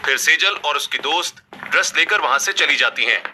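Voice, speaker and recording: A man's voice: on the high side, animated, brisk, pushed and bright, slight background noise. A man reading scripted narration as the storyteller of a family tale. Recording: faint background music.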